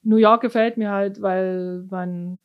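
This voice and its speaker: A woman's voice: mid-range voice, steady tone, slow, narrow, tinny audio. Middle-aged German woman, thoughtful talk. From East Germany. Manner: thoughtful, with frequent fillers and restarts. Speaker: orchestra cellist, interview guest. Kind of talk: casual conversational interview.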